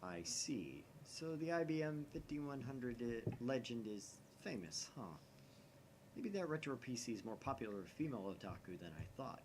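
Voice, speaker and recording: A man's voice: mid-range voice, sing-song, moderate pace, background noise, natural voice. A man voicing a paranoid, melodramatic young self-styled mad scientist in a visual novel, theatrical and suspicious. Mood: guarded. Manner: theatrical, suspicious, dramatic whispers and outbursts.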